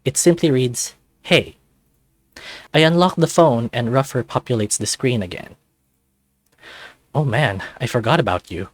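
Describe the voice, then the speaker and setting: A man, a channel host, narrating a visual novel in the first person with an expressive, animated storytelling delivery. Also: mid-range voice, measured pace, long pauses, no room sound.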